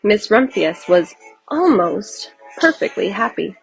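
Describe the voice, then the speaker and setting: A woman reads a children's book aloud as a storyteller. Her voice sits at a middle pitch, expressive and carefully delivered, with music playing behind it. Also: slow, vocal fry, rough recording. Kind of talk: scripted storybook narration.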